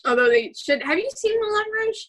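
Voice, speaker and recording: A woman's voice: very high-pitched, big pitch swings, fairly quick, fairly bright tone. A young woman hosting a casual chat about musical movies, rambling with many fillers and restarts. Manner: rambling, filler-heavy, laughs often. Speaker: host.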